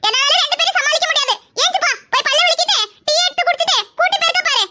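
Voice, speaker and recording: A woman voicing a scolding, sarcastic cartoon mother with a southern Tamil Nadu accent and a nagging, sharp-tongued manner. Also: very high voice, very fast. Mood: exasperated, sarcastic. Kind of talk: cartoon character dialogue. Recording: very loud background noise.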